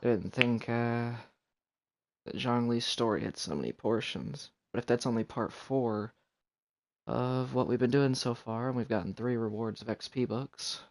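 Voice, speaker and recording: A man's voice: mid-range voice, moderate pace. A man giving casual live commentary as he streams a video game.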